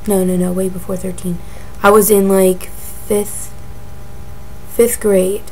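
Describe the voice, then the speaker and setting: A woman in her twenties with a raspy voice from a cold, rambling casually to a webcam in her bedroom with lots of ums and likes. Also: mid-range voice, flat delivery, slow, dark tone, a little hiss or hum, poor audio. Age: 20s. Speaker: beauty vlogger. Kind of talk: casual chat answering a tag. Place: bedroom, indoors. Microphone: webcam at desk.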